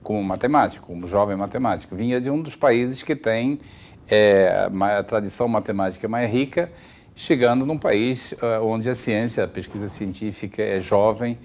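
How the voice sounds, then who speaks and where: Low-ish male interviewer, keeps saying uh, formal intro vibe. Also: normal speed, a little mellow. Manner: frequent filler hesitations.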